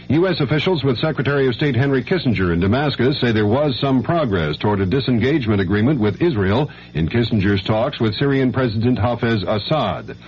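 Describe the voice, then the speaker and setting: A man's voice: mid-range voice, breathy edge. An American man anchoring a scripted hourly radio news bulletin. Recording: dull, faintly noisy 1970s radio broadcast.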